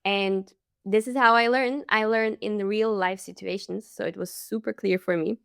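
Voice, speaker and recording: A woman's voice: medium pitch, fairly even intonation, normal speed, gravelly, a bit bright, clean tone, no echo, studio quality. A young woman from the Czech Republic, a language coach, telling her story in a friendly, casual interview tone.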